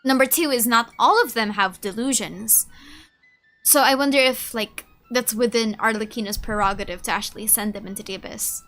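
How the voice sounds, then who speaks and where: A woman's voice: on the high side, brisk, fairly bright tone, faint music behind. A woman streaming a game, chatting casually, musing and reacting aloud as she plays.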